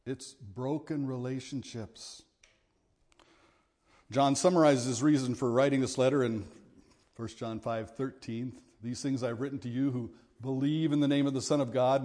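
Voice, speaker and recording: A middle-aged man with a big voice preaching a sermon, earnest and at times forceful in his exhortations. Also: mid-range voice, moderate pace.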